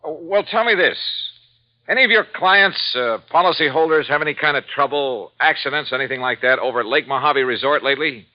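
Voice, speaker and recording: A man's voice: a little high, fairly quick, no room sound, close to an everyday voice. Male lead actor as a wry private insurance investigator and narrator; lo-fi 1950s radio. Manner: wry, dry, hard-boiled. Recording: dull lo-fi 1950s radio recording with faint music.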